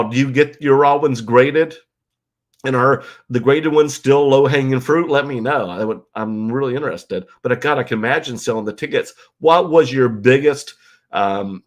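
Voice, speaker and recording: A man with a stuffed-up, congested voice hosts a rambling, casual livestream from a guest bedroom at home, chatting loosely and reading viewer comments aloud. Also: mid-range voice. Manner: rambling, loose, full of ums and you-knows. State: stuffed-up nose and congested from illness. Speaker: livestream host.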